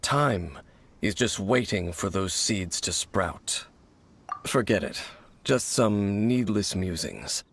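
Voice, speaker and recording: An actor playing a solemn, cryptic warrior in a video game, with a gravelly voice and grave, formal manner. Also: male voice, expressive, slow, a bit bright, slight background noise, exaggerated voice. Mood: cryptic, musing. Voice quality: gravelly, low. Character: brooding, cryptic adult male warrior, solemn and guarded.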